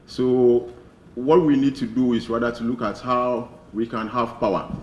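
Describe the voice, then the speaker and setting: A man making halting, earnest remarks from the floor at a launch event, with restarts. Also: mid-range voice, fairly even intonation, a little mellow, a little hiss or hum. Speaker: audience speaker at a launch event. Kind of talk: spontaneous public remarks. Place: event hall. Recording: echoey hall from far off.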